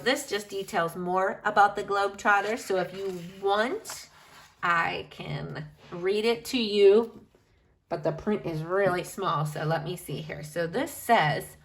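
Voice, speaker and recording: A woman reads aloud to children in a bright, fairly high voice. Her delivery is animated, swinging widely in pitch, with emphatic phrasing and drawn-out key words, as a storyteller does. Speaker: storyteller reading a picture book aloud. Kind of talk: expressive children's read-aloud.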